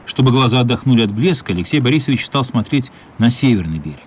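A man's voice: mid-range voice, fast talker. A man narrating a radio play as scripted, dramatic storytelling. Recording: light steady background noise.

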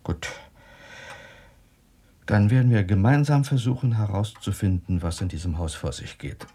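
Steady inquisitive guy, low creaky voice, radio mystery. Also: moderate pace. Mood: resolute. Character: steady, inquisitive male confidant helping investigate a mystery. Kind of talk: German radio drama acting.

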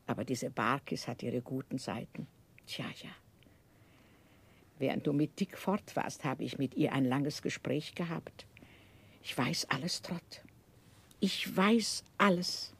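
An actress playing a blunt, sharp-tongued but tender-hearted older aunt in a 1960s German radio play, probing and exclaiming. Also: female voice, a bass voice, unhurried, creaky, long pauses, husky, exaggerated voice. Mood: scolding, exasperated.

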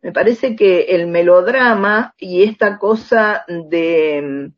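A woman's voice: lowish voice, takes it slowly, highs cut off. An Argentine woman speaking reflectively as an interviewed author and researcher, often checking in with short rhetorical tags.

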